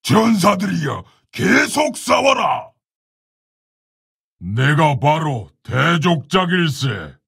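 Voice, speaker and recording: A man playing a proud, solemn orc warchief in a video game, gravelly and husky, speaking in a commanding, stately, formal way. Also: a little high, sing-song, extremely slow, fairly bright tone, dry recording, raised voice, clean studio sound, exaggerated voice. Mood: fierce, commanding. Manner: commanding, stately, old-fashioned formal speech. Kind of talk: video game hero voice lines.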